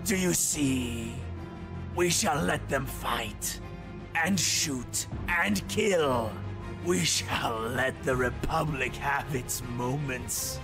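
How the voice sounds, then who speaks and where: A man's voice: medium pitch, drawn-out words. A man voicing a grandiose, scheming villain in a pompous, oratorical monologue. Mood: grandly scheming. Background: music.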